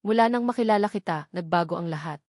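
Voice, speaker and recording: A woman voicing an earnest, devoted young man, a company boss, speaking calmly in a storybook romance. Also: fairly low pitch, fast, no room sound, studio quality, close to an everyday voice. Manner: calm, earnest.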